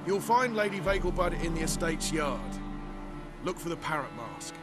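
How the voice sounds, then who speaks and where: A man voicing a deferential, courteous gate guard in a video game, under loud music. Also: on the high side, lively intonation, normal speed, lightly acted. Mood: polite.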